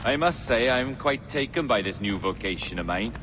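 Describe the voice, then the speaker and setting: An actor playing an eerie, self-possessed pirate sage in a video game, speaking in an eloquent, cryptic, theatrical way. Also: male voice, medium pitch, expressive, normal speed, natural voice. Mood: smug, grand. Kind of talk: dramatic video game acting. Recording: noisy outdoor ambience behind the voice.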